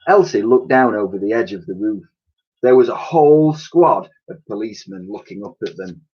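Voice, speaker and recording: A man reading a children's storybook aloud in an expressive, dramatic way, with the odd stumble. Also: lowish voice, moderate pace, slightly rough. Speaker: primary school teacher reading aloud. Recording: dull lo-fi home recording.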